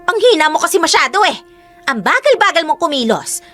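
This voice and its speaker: An actress playing a greedy, sharp-tongued older woman who berates and insults. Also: very high-pitched, sing-song, a little fast, soft background music, heavily acted. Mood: scolding. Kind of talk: radio drama acting.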